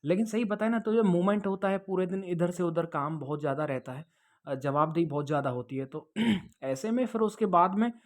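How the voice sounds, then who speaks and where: A man's voice: high-pitched, fairly quick. Man explaining things conversationally. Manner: conversational, advising, often checks with "okay?" and "understood?". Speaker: host answering viewers' questions about police jobs. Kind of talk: casual explanatory Q&A to camera.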